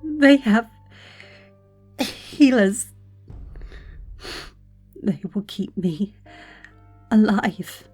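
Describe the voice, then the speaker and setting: A woman playing a gravely wounded angel in an audio drama, pleading in faint, broken words as she gasps in pain. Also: medium pitch, lively intonation, extremely slow, pauses often, darkish tone, exaggerated voice. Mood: desperate, begging. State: in pain, gasping. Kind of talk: dramatic audio fiction acting. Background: music.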